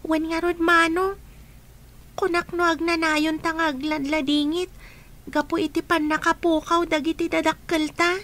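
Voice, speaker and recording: A woman's voice: very high-pitched, level tone, moderate pace, a bit bright. An actress voicing an earnest orphaned teenage girl in a radio drama, asking questions anxiously.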